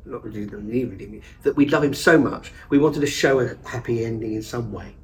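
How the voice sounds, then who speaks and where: A male stage actor speaking in an ordinary middle register. He talks quickly and eagerly. He sounds distant from the microphone, as if captured in a small theatre. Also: medium pitch, a little hiss or hum. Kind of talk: interview chat. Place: theatre above a pub. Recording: distant, off-mic.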